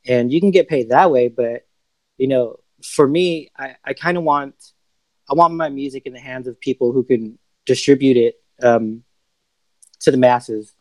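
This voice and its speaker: A man, a music producer, talking as a podcast guest in relaxed casual answers, with many ums. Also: mid-range voice, normal speed, no echo, poor audio.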